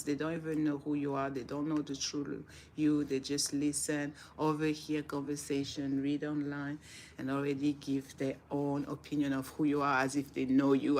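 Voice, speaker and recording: A woman's voice: deep voice, slight background noise. Senegal-born woman with a French accent. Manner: earnest, repeats phrases for emphasis, laughs softly. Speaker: livestream host. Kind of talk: casual livestream talk, reading daily reflections.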